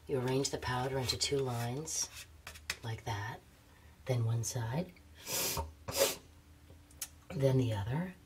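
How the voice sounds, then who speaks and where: A woman's voice: very deep voice, takes it slowly, pauses often, background noise. An actress playing a jaded, drug-dependent woman resigned to her life, languid and intimate, in a radio drama.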